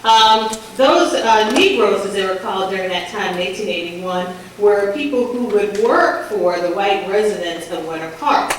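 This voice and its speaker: A woman delivers a public history talk with slides, conversational and full-voiced. She sounds distant, in a very reverberant room with steady background hiss. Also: normal speed. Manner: conversational, faith-infused, says she has a booming voice. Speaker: researcher giving a public talk. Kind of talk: lecture with slides. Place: large echoey community room. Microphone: handheld or stand mic, moving off it at times. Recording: distant, echoey, hissy room recording.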